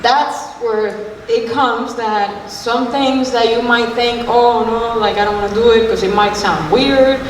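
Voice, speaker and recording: A woman with a Cuban accent tells a story on a panel, pausing on ums as she speaks. Her voice is fairly high. The room carries a long echo and a clear hiss from a distance. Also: fairly even intonation. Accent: Cuban Spanish. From Cuba. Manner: hesitant, many ums, laughs easily. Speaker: singer, panelist. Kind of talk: panel discussion, personal anecdote. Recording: echoey hall from far off.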